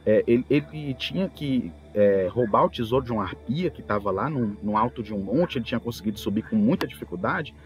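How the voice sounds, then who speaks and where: A man with a Brazilian accent talks casually as a podcast host, telling gaming anecdotes in an animated, storytelling way. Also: medium pitch, fairly quick, few pauses. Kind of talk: casual anecdotal talk about tabletop role-playing. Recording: music bed behind the voice. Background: background music.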